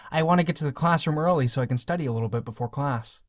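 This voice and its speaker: A man's voice: on the high side, fast, close to an everyday voice. A man voicing everyday male characters in a fan manga dub, on a noisy recording with clicks. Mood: casual, polite. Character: assorted everyday males: father, student, teacher. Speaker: voice actor.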